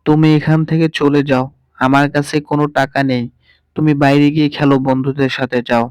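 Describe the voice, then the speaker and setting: A man's voice: a little high, level tone, brisk, darkish tone, clean tone, dry recording, lightly acted. A man voicing a young uncle in a cartoon, scolding and impatient. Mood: annoyed. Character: young uncle, annoyed then flustered.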